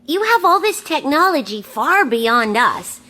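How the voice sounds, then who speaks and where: Curious woman reporter, high lively voice, puzzled, questioning, radio comedy. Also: unhurried, exaggerated voice. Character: puzzled, inquisitive woman reporter among aliens. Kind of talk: sci-fi radio comedy acting.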